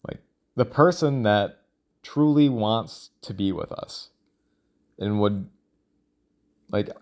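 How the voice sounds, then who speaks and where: Man, lowish voice, casual rambling monologue, musing, halting, self-questioning. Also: a little mellow. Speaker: solo audio log creator.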